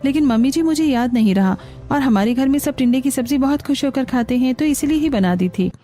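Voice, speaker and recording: A woman's voice: high-pitched, lively intonation, a little fast, dark tone. A woman voicing an obliging, put-upon young daughter-in-law in a cartoon, speaking politely. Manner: polite, accommodating. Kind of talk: Hindi cartoon dialogue.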